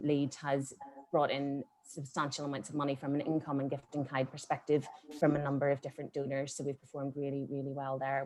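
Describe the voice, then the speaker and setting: Low-voiced, upbeat woman. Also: a little mellow, rough recording. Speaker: charity fundraising leader. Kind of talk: panel discussion.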